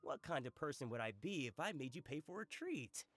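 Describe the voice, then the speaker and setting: Friendly food vendor in a fantasy game: jokey, generous, bouncy higher-pitched delivery. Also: male voice, big pitch swings, brisk, a bit bright. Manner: hearty, teasing. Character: genial, joking male food-stall merchant.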